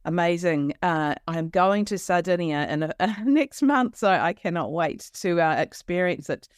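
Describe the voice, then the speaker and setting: A woman with an Australian accent hosting a travel podcast, talking with lively enthusiasm and frequent restarts. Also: lowish voice, a little slow, clear, resonant tone, no room sound, clean studio sound. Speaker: podcast host. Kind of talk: casual interview chat.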